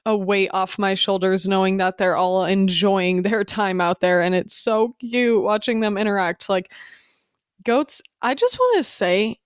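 A woman chats as a podcast co-host, slightly stuffed up from allergies. Her voice is smooth and clean, mid-pitched, recorded close in a dry space. She talks in a bubbly, loose way, peppered with "like". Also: unhurried, no echo. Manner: bubbly, says "like" a lot. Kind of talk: casual chat.

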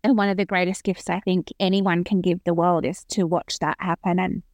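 A woman's voice: on the low side, little pitch movement, a little fast, a little mellow, dry recording, clean studio sound. A woman in her thirties, a podcast guest talking earnestly and reflectively about her own experience. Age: 30s. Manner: earnest, reflective, with frequent restarts. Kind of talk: casual interview conversation.

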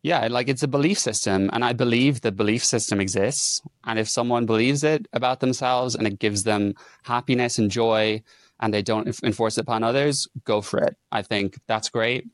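A man's voice: medium pitch, moderate pace, dry recording. Wry podcast host man, dry sound. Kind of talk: casual podcast chat.

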